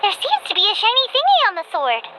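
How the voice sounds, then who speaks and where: A woman voicing a small, childlike, excitable fairy companion in a video game, cute and exaggerated. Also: very high-pitched, fast, background noise, heavily acted. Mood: curious, startled.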